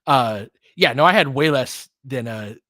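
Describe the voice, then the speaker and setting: A man's voice: mid-range voice, lively intonation, measured pace, sharp tone, no room sound. Dry-voiced man telling a rambling story. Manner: rambling storytelling, many fillers and restarts. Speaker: podcast host. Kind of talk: casual livestream chat answering viewers.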